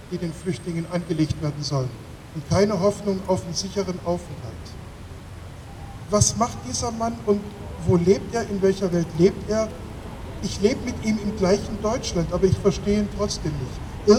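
A man giving a political speech at a rally, speaking with deliberate, emphatic phrasing. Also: sits high. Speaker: speaker at a refugee-rights demonstration. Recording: echoing, distant microphone, loud ambient traffic noise.

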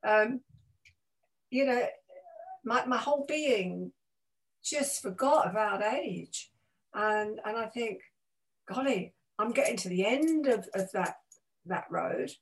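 A woman's voice: fairly high pitch, animated, slow, pauses often, poor audio. A woman of eighty, a pastor hosting a radio show, talking casually and reflectively in a personal, anecdotal way with small exclamations.

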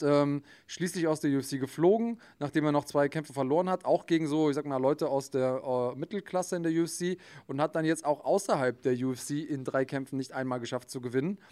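A man co-hosts a podcast and explains his view in long, casual turns. He thinks aloud and hesitates with fillers. His voice is a bit higher than most men's. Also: darkish tone. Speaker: podcast co-host. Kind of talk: casual chat.

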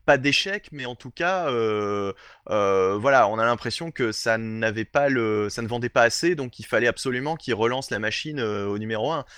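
A man hosting a casual chat, talking haltingly with many fillers and restarts. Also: mid-range voice, fairly bright tone, clean tone, dry recording. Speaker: host.

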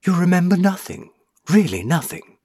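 A man's voice: sits high, very animated, moderate pace, warm tone, slightly rough, dry recording, clean studio sound, voice pushed up, exaggerated voice. A man reading an audiobook, voicing the ghost of a young woman who was burned as a witch, tender and pleading. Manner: pleading, tender, rising to anguish.

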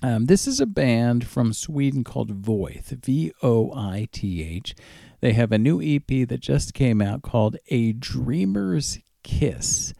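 A man's voice: mid-range voice, big pitch swings, takes it slowly, creaky, warm tone, no echo, clean studio sound. A man hosting a radio music show, presenting casually as a DJ to his listeners.

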